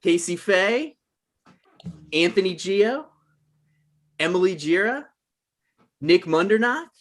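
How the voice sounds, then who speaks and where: A man's voice: high voice, lively intonation, slow pace, a bit bright. Man informally hosting an online event. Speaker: host and writer introducing the cast. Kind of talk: informal introduction. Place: indoor room, video call.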